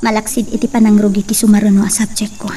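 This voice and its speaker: An amateur actress playing a hurt young woman who speaks softly and sometimes whispers. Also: medium pitch, little pitch movement, fast talker, natural voice. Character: young woman hurt over her boyfriend, quiet and subdued. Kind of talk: amateur drama acting. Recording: distant mic, noisy background.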